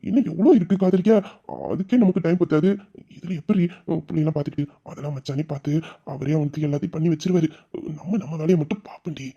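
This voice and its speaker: Woman playing a husky, muffled, doting young husband. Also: low voice, quick pace, mellow. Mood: playful. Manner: tender, cooing, protective. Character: doting, emotional young husband and new father. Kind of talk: melodramatic Tamil audio drama. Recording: dull, muffled lo-fi sound.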